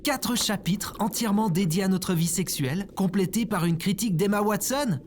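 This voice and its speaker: A woman's voice: medium pitch, moderate pace, darkish tone, a little hiss or hum, close to an everyday voice. A woman playing a lazy, indignant slacker man in his thirties in a comedy audio drama, whiny and incredulous. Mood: aggrieved.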